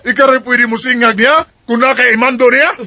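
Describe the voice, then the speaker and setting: A man's voice: very high voice, fairly even intonation, fairly quick, a crowd in the background. A man voicing a resentful young man who defies his parents in a radio drama. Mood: bitter defiant. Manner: bitter, sarcastic.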